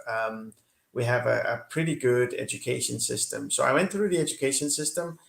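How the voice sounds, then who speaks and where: Earnest interview guest, a man with a Danish-tinged accent and a gravelly voice, recorded in a roomy space. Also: mid-range voice, a bit bright. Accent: Danish-influenced. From Kenya. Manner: earnest, many fillers and restarts. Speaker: guest, company CEO. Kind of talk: interview over video call.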